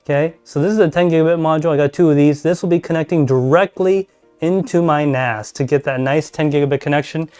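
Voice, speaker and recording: A man hosting a casual live stream, talking through his network gear and reading chat aloud as he thinks out loud. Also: fast talker, smooth, faint music behind. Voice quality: deep voice. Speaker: podcast host live streaming. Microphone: desk mic, level adjusted mid-stream.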